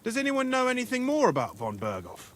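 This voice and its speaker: A bawdy young medieval soldier in a game cutscene. He is nosy and teasing, with a high, animated voice and rough everyday speech. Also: fairly quick, full character voice. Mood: curious. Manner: teasing, colloquial. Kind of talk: video game cutscene acting.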